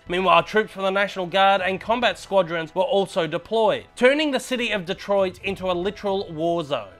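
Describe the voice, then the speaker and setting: A young Australian man hosting his own review channel, his voice sitting fairly high for a man. He sounds stuffy from a head cold and delivers a scripted film review earnestly, leaning on key words and dipping low at the close of each sentence. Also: a bit bright. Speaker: movie reviewer, channel host. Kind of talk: scripted movie review to camera.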